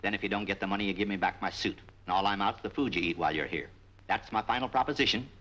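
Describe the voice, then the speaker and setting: An actor playing a suspicious, tight-fisted small-time trader, American, blunt and slangy in 1940s film noir style. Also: male voice, fairly low pitch, quick pace, pushed and bright, highs cut off. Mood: stubborn. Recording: noisy old 1940s film soundtrack.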